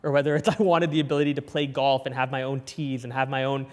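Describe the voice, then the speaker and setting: A young man with a lisp, talking casually as a guest in an interview, eager and quick with his replies. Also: mid-range voice, flat delivery, big-room sound. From Canada. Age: young adult. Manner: quick, eager, frequent "yep" and "yeah" backchannels. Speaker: guest, entrepreneur.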